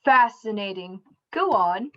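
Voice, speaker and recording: A woman's voice: mid-range voice, expressive, slow. A young woman acting in a radio play as an earnest, incredulous interviewer questioning a witness, over a video call. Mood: fascinated. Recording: dull video call audio.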